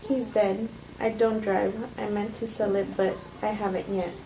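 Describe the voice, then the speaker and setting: A woman's voice: mid-range voice, flat delivery, a little slow, dark tone, close to an everyday voice. A woman reading the part of a guarded, composed widow in an understated, hesitant script table read. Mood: guarded, startled. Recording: echoey room with clear background noise.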